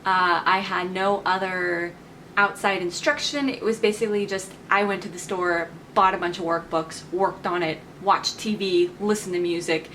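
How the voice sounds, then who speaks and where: A woman's voice: lowish voice, fairly even intonation, moderate pace, sharp tone, a little hiss or hum, poor audio. A woman in her twenties talking casually to camera as a vlogger, chatty and enthusiastic, laughing often as she talks. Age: 20s. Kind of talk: casual vlog talk to camera.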